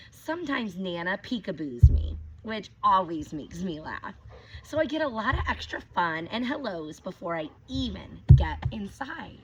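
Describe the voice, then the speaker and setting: A woman teacher reading a picture book aloud to children, gently stopping to ask them questions. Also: mid-range voice. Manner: gentle, asks children questions between pages. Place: outdoors with her dogs. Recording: dull lo-fi recording with clear background noise.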